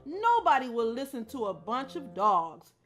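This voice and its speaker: Small downtrodden storybook poodle, plaintive, high and bright, read by a woman narrator. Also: very animated, unhurried, faint music behind, heavily acted. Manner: whining, plaintive.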